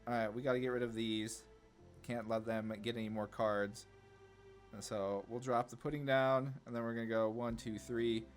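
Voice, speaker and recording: A man with an average-pitched voice thinks aloud as he commentates a game. His talk is casual, slangy and laid-back, like a video host playing along. Music runs underneath his voice. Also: steady tone, moderate pace.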